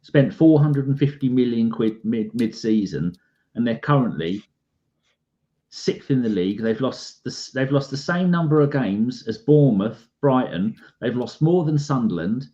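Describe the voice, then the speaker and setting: London guy on a footy podcast going off on a rant, mid pitch, bit lo-fi sound. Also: normal speed. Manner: animated, ranting, acts out conversations. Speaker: podcast co-host. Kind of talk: casual chat.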